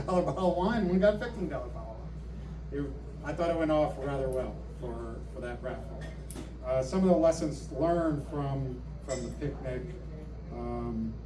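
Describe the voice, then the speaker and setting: A man giving a casual report at a club meeting, halting with many ums and restarts, recorded far off in an echoey room. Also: fairly high pitch, background noise. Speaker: meeting presenter. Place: meeting hall.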